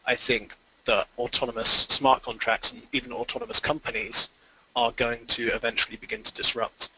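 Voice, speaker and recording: A man's voice: medium pitch, fairly even intonation, a little fast, sharp tone, slightly rough, poor audio. A man giving informal, explanatory answers as an investor guest in a conference call interview.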